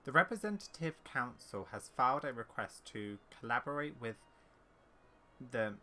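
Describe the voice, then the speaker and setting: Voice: medium pitch, animated, bright, forceful tone. A gaming streamer giving casual playthrough commentary and reading game text aloud. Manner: halting when reading aloud. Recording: faint background noise.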